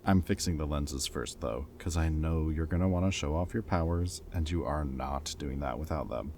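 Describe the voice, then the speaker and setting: Ex-villain for roleplay audio drama, male actor: extremely low pitch, casual wry banter, protective friend with a teasing streak. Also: moderate pace, a little mellow, a little hiss or hum. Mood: playful, eager. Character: teasing, caring male ex-villain planning with old hero friend.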